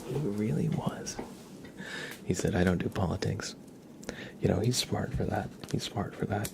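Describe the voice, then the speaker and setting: A man livestreaming ASMR, chatting softly and playfully and often dropping into whispers. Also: fairly low pitch, moderate pace, creaky, background noise. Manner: playful, mock-serious, doting talk about and to a pet. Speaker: ASMR live streamer.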